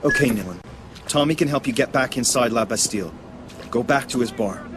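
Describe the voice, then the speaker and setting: An actor playing a purposeful rebel leader in a video game, giving mission briefings in a direct, businesslike way. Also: male voice, mid-range voice, a little fast, close to an everyday voice. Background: prominent music.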